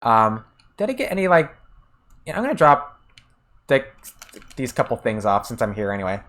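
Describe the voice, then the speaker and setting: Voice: mid-range voice, big pitch swings, moderate pace, a bit bright. An American game streamer chatting casually while playing, often trailing off and restarting sentences while answering chat. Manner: answers chat messages between gameplay.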